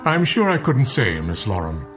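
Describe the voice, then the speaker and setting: A dutiful butler in radio drama. He is formal and watchful, with courteous, animated inflection and careful deference. Music plays clearly behind him. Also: lowish voice, normal speed. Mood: deferential, evasive.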